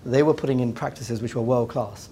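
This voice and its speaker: A man's voice: mid-range voice, brisk, dark tone, a little hiss or hum. A man with an English accent speaking on a panel, hesitant, often repeating words as he goes. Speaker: round table panelist. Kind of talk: panel discussion.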